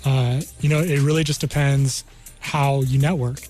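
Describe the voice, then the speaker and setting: A man's voice: mid-range voice, steady tone, moderate pace, darkish tone, soft background music. An American man in his thirties from New Mexico, explaining things informally as a guest career counselor in a conversational way. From New Mexico, United States. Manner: conversational, explains with hypothetical examples.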